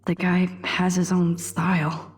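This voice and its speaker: An introspective American teenage girl sleuth in a video game, acted by a young woman, speaks her thoughts aloud in a hushed, breathy murmur. Her voice sits slightly low and moves at an unhurried, slow pace, wry and observant. Music plays underneath. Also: monotone, lightly acted. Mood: pensive, sardonic. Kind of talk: game character thinking aloud.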